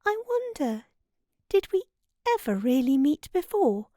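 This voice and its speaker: A woman's voice: high voice, big pitch swings, unhurried, mellow, no echo, clean studio sound, pitched above the performer's own voice. A woman voicing a dreamy but sensible young girl in a children's storybook, earnest and questioning. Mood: curious.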